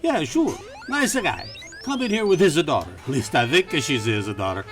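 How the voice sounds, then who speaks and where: A man's voice: on the high side, very animated, normal speed, soft background music, pitched above the performer's own voice. A man voicing a cheerful, chatty diner owner with an Italian accent and eager broken English. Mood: chatty, gossipy.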